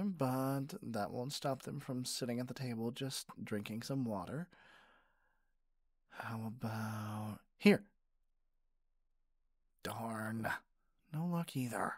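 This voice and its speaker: A man acting in an ASMR roleplay as a gentle, doting caregiver, speaking softly in a soothing, coaxing manner. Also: medium pitch, no echo, clean studio sound. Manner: soft, soothing, playful coaxing. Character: gentle, doting male caregiver comforting a partner.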